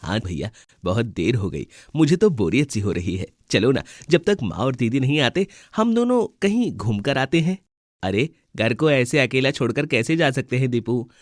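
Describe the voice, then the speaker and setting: A man's voice: medium pitch, sing-song, normal speed, dry recording, lightly acted. Man doing boyish cartoon bird, swinging intonation, dry close recording, emphatic. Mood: bored, insistent. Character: young brother birds, pleading and bossy. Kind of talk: Hindi children's cartoon dialogue.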